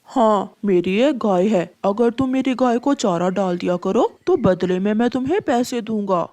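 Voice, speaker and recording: A woman's voice: medium pitch, expressive, normal speed, mellow, no echo, close to an everyday voice. A woman voicing an older male uncle pigeon in a cartoon story, practical and matter-of-fact. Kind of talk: cartoon story character dialogue.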